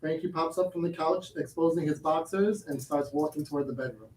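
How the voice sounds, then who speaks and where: High-voiced male narrator, echoey and distant. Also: a little fast, darkish tone. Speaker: stage directions reader. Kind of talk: scripted narration. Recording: echoey room, distant, background noise.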